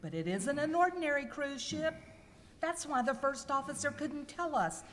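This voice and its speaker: In an echoey hall with some noise, a woman plays a worried cruise passenger. Her voice is high and suspicious, in an amateur radio skit. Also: lively intonation, moderate pace, fairly bright tone. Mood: dawning alarm. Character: worried, suspicious female cruise passenger piecing clues together. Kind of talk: amateur live comedy horror radio skit.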